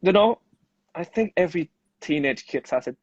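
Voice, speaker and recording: A man's voice: sits high, lively intonation, moderate pace, husky, no echo, dull audio. A man in his twenties from Thailand, a native Thai speaker, chatting as a guest on a casual live-stream interview, full of restarts and "you know". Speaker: guest, actor.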